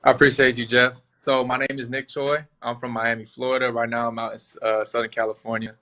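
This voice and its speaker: Young man, contestant, earnest, bright tense voice, flat delivery. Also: medium pitch, a little fast. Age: 20s. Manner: earnest, polished. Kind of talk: self-introduction.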